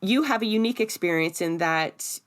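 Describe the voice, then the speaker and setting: A woman's voice: mid-range voice, normal speed. A Canadian woman hosting a podcast, speaking in a conversational political discussion. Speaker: podcast host.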